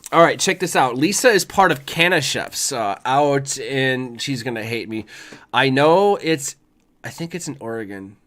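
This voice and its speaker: A man with a slightly hoarse voice hosting a casual chat show, rambling in a laid-back, slangy and sometimes profane way. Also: mid-range voice, lively intonation, a little slow, a bit bright. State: hoarse from voice work. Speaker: show host.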